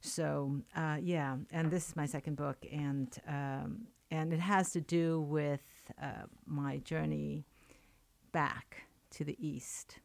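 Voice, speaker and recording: Low gravelly woman guest, thoughtful. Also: fairly even intonation, takes it slowly, a little mellow, dry recording. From China. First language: Chinese. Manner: thoughtful, many fillers and restarts. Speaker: poet and English professor. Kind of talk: radio interview guest. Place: radio studio.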